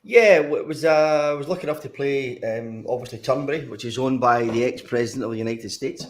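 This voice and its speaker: A man's voice: mid-range voice, fairly quick. A man in his sixties with a Glaswegian accent, a former footballer talking as a guest over a video call, hesitant with many fillers and restarts. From Scotland. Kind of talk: casual interview.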